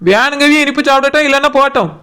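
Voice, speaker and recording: Woman as a fast, high-voiced complaining cartoon cook. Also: fairly bright tone. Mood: explaining, defensive. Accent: Kanyakumari Tamil. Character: overworked, complaining young female cook in a comedy cartoon. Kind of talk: comic cartoon dialogue.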